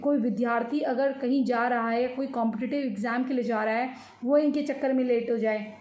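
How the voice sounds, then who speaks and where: A woman with an Indian accent giving informal news commentary, explaining in a halting, repetitive way and mixing in English words. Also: fairly high pitch, steady tone, darkish tone. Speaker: commentator on social and religious news. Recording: echoey room with faint noise.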